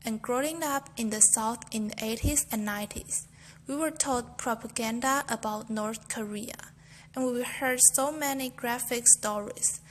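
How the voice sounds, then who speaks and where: Young female student, careful earnest recitation, slightly high voice, light background noise. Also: a little slow. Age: young adult. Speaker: student reciting a famous speech. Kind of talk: memorized speech recitation.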